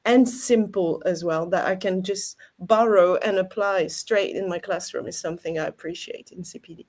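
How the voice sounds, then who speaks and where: French-accented lady teacher, native french, upbeat and a bit hesitant, mid pitch. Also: a little mellow. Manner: enthusiastic, hesitant fillers. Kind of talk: panel discussion.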